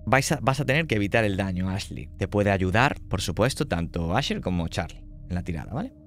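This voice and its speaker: A man running a tabletop horror game as game master, laying out options in a measured way and checking in often. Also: low-pitched, animated, fairly bright tone, a little hiss or hum.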